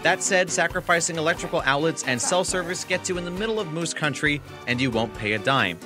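A man's voice: a little high, animated, brisk, music in the background. Wry male travel-show narrator. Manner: wry, dry humor. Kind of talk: scripted voice-over narration.

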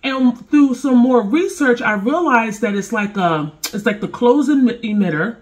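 A woman in her thirties talks casually and directly to her viewers, her voice stuffy from a cold. She sounds relaxed and conversational, recorded in a home kitchen from a camera a little way off. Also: fairly high pitch, measured pace. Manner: chatty, speaks directly to viewers. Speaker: firearms gear reviewer. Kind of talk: casual product review.

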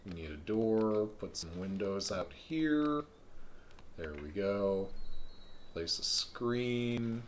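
A man streaming a video game live, thinking aloud in a relaxed way with frequent ums. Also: fairly low pitch, takes it slowly, a little hiss or hum. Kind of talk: live let's-play commentary.

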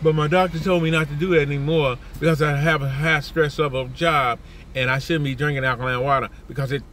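A man's voice: brisk, slight background noise. Fired-up older man ranting from his car. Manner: animated, indignant, repeats himself, jumps up in pitch when worked up. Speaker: vlogger. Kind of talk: ranting car vlog to camera. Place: parked car, daytime. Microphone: phone camera at arm's length.

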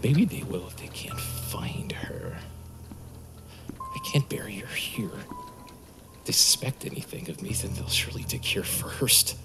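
An actor playing a nervous man who killed his wife, muttering to himself in hushed tones in an audio drama. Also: on the low side, big pitch swings, unhurried, vocal fry, in a whisper, over music, heavily acted. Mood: tense. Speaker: murderer hiding his wife's body.

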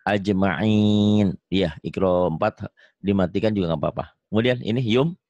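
Low-voiced Indonesian teacher drilling syllables. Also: male voice, a little mellow, dry recording, lo-fi sound. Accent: Sundanese. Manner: drills syllables slowly, drawn out, corrects and praises. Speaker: Quran reading teacher. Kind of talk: online reading lesson. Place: home, on a video call.

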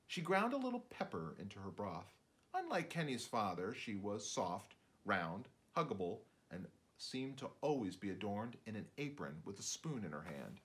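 A man in his fifties narrating a children's book aloud in an expressive, theatrical way. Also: lowish voice, normal speed. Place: indoors, book-lined room.

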